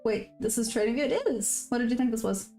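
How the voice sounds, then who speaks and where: Female streamer, fairly high-pitched, casual and chatty, soft music underneath. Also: steady tone, fast talker. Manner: chatty, reads viewer questions aloud and answers them; upbeat sign-off, friendly to viewers. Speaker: day-trading live streamer. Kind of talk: casual live-stream chat while trading.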